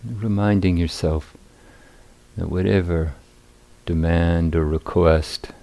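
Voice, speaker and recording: A man teaching guided meditation at a retreat, speaking in deliberate, hesitant phrases with long pauses. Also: low-pitched, fairly even intonation, gravelly, warm tone.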